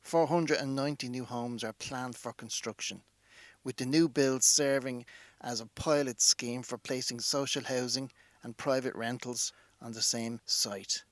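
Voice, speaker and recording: An Irish actor playing a matter-of-fact radio newsreader delivering a scripted bulletin. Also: male voice, mid-range voice, fairly even intonation, measured pace, dry recording, close to an everyday voice. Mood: formal, neutral.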